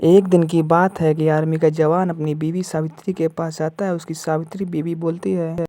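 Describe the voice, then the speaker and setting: A man's voice: on the high side, little pitch movement, moderate pace, warm tone. A man narrating an amateur cartoon story as the storyteller.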